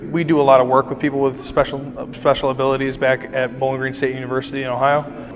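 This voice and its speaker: A man's voice: medium pitch, noisy background. A young man giving unscripted interview answers as a member of a charity team. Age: young adult. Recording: reverberant hall.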